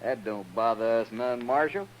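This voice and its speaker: A man's voice: medium pitch, normal speed, lightly acted. An actor playing a cocky, goading gunman in a radio western, with a folksy rural western American accent. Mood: taunting, menacing. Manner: taunting, folksy, swaggering. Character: cocky, goading Old West troublemaking gunman. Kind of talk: 1950s radio western acting.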